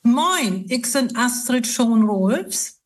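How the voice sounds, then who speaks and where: A woman in her sixties from East Frisia with an East Frisian Low German accent, speaking slowly and deliberately as a guest. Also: animated, recorded from a distance. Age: 60s. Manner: slow, deliberate.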